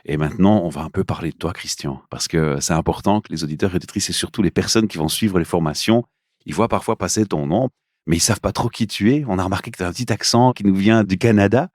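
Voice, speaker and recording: A man's voice: low voice, fast, darkish tone, dry recording, studio quality. A man hosting a podcast, guiding the interview with friendly questions. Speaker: podcast host.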